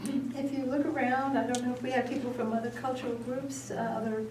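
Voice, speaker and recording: A woman moderating a panel discussion in a meeting room, chatty and relaxed with fillers and little laughs. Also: on the high side, a little fast, slight background noise. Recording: echoey room, far from the mic.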